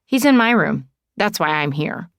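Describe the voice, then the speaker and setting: A woman's voice: fairly low pitch, animated, moderate pace, clean tone, no echo, studio quality. A woman voicing an easygoing, boyish young man, earnest and a little goofy, in audiobook dialogue. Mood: sheepish.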